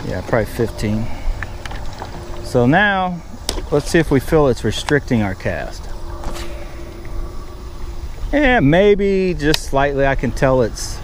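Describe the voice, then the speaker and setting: A man's voice: on the high side, very animated, unhurried. A middle-aged man hosting a fishing vlog with casual, folksy, rambling running commentary. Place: outdoors on a small fishing boat on open water. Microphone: action camera worn on chest or held at arm's length. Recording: outdoor boat noise, wind.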